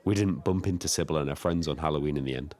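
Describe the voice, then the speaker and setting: An actor playing a lonely, reflective taxi driver in an audio drama, telling his story in a measured, literary way. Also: very deep voice, a little mellow. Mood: wry, wistful. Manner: wordy, literary, measured first-person storytelling. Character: Frank, lonely reflective male Brighton taxi driver and diarist. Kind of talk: scripted dramatic diary narration.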